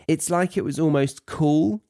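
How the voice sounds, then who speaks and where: A man's voice: fairly high pitch, unhurried, creaky, dark tone, no echo, studio quality. A British man hosting a podcast for English learners, chatting on his own with playful, tongue-in-cheek asides. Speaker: podcast host teaching English to non-native learners. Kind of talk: chatty solo podcast monologue. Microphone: close podcast microphone in a dry room.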